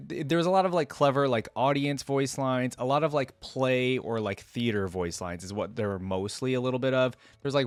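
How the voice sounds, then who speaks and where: A man's voice: mid-range voice, no echo. A man hosting a reaction video, talking informally and ramblingly as he thinks aloud into a close microphone. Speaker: video host reacting to game voice lines. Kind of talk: casual reaction commentary.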